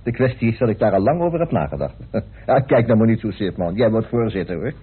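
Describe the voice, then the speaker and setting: A man's voice: on the low side, animated, quick pace, husky. An actor playing a self-assured, talkative, persuasive man in a radio drama. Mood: brisk, jovial. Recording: muffled, narrow-band old radio recording.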